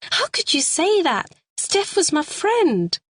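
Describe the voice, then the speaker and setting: A woman voicing a distraught, battered woman who pleads through tears in a high voice. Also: very animated, slow, no room sound, full character voice. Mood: tearful.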